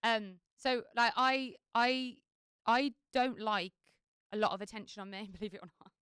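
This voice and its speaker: A woman's voice: on the high side, fairly even intonation, normal speed, a bit bright, clean tone, no echo, studio quality. A woman, a wedding singer chatting on a podcast, talking chaotically with lots of fillers. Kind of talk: casual chat.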